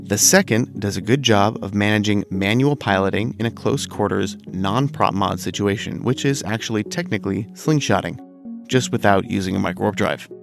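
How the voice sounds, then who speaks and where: Low gravelly man with a cold. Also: music in the background. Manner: measured, analytical. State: recovering from a cold. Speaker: gaming video host. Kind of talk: scripted game commentary.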